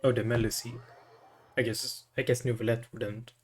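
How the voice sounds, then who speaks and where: A man streaming a game, commenting casually and reading aloud in a halting way, stumbling over words. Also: mid-range voice, level tone.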